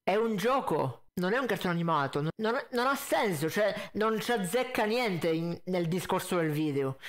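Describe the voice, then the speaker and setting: A man's voice: high voice, lively intonation, normal speed, fairly bright tone. A man giving casual, critical and emphatic reaction commentary. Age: 20s. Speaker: reaction video host. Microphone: close desk condenser mic with pop filter, headset.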